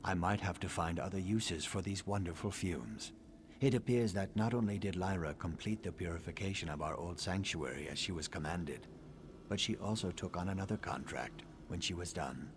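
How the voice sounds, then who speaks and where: An actor playing a grim, vengeful assassin in a fantasy game, speaking in a measured, menacing, formal way. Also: male voice, deep voice, background noise, natural voice. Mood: sardonic, grim. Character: grim vengeful male assassin of a dark brotherhood. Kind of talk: scripted fantasy game dialogue.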